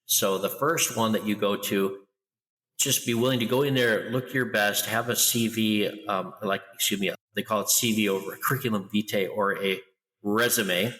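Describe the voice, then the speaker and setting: A middle-aged man talking loudly and with lively energy as a guest in an interview. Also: on the low side, normal speed, big-room sound, low-quality recording. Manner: loud, animated storyteller. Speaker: guest, international school educator. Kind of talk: conversational interview.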